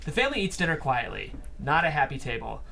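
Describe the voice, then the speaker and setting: A man's voice: on the high side, big pitch swings, fast talker, gravelly, pushed and bright, a little hiss or hum. A man reading stage directions as scripted narration at a screenplay table read.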